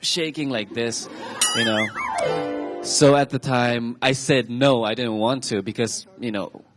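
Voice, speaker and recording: A man in his twenties from Thailand, telling personal stories to an audience on a stage talk show in a chatty, halting way with frequent restarts. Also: mid-range voice, fairly bright tone, soft background music. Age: 20s.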